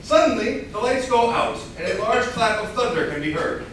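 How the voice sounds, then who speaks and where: A man's voice: very high voice, expressive, moderate pace, a bit bright. A man narrating stage directions from a script at a staged reading. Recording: very echoey room, distant mic, noise.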